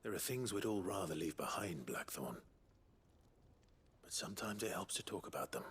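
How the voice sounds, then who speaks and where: An actor playing a calm, stern rebel leader with a husky voice and a measured, authoritative manner in a video game. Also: male voice, deep voice, a little hiss or hum. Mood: calm, probing.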